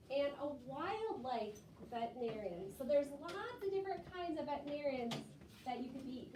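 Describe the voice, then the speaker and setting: High-voiced woman teacher in a noisy echoey room. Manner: explains simply, repeating and rephrasing for children. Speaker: elementary school teacher. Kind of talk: classroom lesson talk with young children. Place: classroom, away from the mic. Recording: echoey classroom from far off, clearly noisy.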